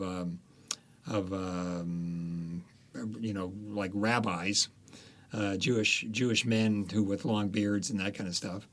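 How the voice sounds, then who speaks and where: A man with a fairly low voice tells a long story in an interview. He winds through history and plot, often pausing with fillers as he gathers his thoughts. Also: fairly bright tone. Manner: storytelling, many pauses and fillers. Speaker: author guest.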